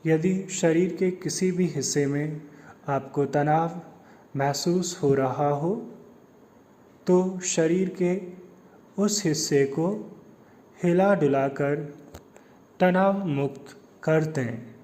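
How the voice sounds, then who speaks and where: A man speaking as a meditation guide and life coach, giving measured step-by-step instructions with long pauses. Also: a little high, mellow. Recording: long hall-like reverb on the voice.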